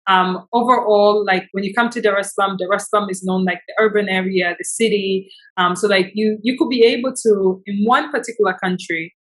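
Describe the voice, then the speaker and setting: A woman's voice: medium pitch, a bit bright, low-quality recording. A young woman from Tanzania, a business founder, talking casually in an interview with many fillers and restarts.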